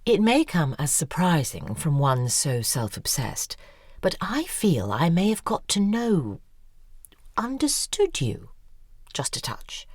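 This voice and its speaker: A self-obsessed woman novelist in an audio drama, with a low, highly animated voice. She is worldly and persuasive, speaking in theatrical, articulate flourishes. The sound is dry and close. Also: slow, no room sound, heavily acted. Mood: probing, urging.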